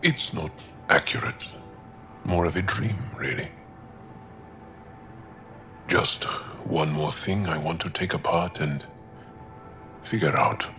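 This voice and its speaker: A man playing a gentle, sentimental alien crewmate in a video game, with a measured, earnest delivery. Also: very deep voice, faint music behind, full character voice. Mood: wistful, open. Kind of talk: video game dialogue acting.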